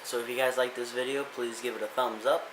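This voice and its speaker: A man's voice: mid-range voice, little pitch movement, fairly quick. A young man giving a casual, rambling motivational rant as a vlogger, earnest and full of restarts. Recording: echoey room with hiss.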